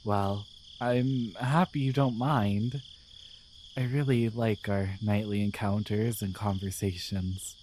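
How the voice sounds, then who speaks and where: A man voicing a devoted, gentle guardian angel in an intimate romantic ASMR roleplay, speaking softly with tender, hesitant care. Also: on the low side, steady tone, slow pace, a little hiss or hum. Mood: tender, apologetic. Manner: soft, tender, hesitant, soothing. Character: devoted, gentle male guardian angel in love with their human.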